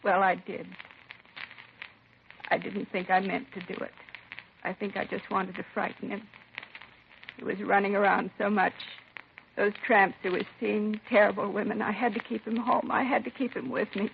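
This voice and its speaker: An actress plays an older, possessive mother under suspicion in a vintage radio crime drama. Her voice is creaky and intense, quick to take offence. The recording is thin and tinny, with a light hiss. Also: medium pitch, level tone, lightly acted. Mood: anguished confession. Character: possessive, defensive older mother confessing to murder. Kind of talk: 1950s radio drama acting.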